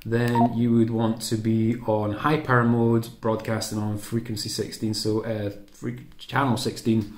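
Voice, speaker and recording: Low-voiced British man in an echoey room. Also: flat delivery, measured pace, few pauses, a little mellow, slight background noise. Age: 30s to 40s. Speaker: host of a how-to sailing channel. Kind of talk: casual unscripted tutorial. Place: small home kitchen or room, indoors. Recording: roomy, echoey indoor sound.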